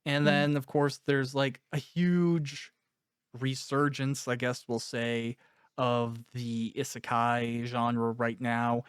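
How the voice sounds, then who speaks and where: Clear-voiced man in reflective conversation. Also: medium pitch, level tone, slow pace, dry recording, clean studio sound. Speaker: author interviewing a cast member. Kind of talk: casual, reflective interview conversation.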